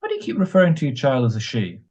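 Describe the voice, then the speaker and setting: A man playing a calm, blunt, reassuring ex-soldier friend in a radio drama, with a husky voice. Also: mid-range voice, rapid-fire, dull audio. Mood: wry, patient. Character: steady, reassuring ex-soldier friend, practical and wry. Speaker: amateur radio drama actor.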